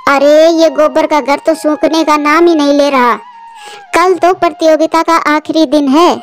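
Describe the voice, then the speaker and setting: A woman performing an excitable, chatty female bird from a children's cartoon. The voice is extremely high and dramatic, with faint music playing underneath. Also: a little slow. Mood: concerned. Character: excitable chatty female village birds, aunt and wives. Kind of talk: Hindi children's cartoon dialogue. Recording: music behind the voice.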